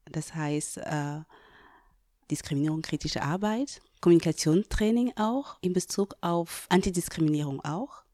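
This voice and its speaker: A woman's voice: low voice, mellow, no echo. A woman from Cameroon, a diversity trainer answering interview questions earnestly, with frequent hesitations. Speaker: diversity trainer, workshop leader.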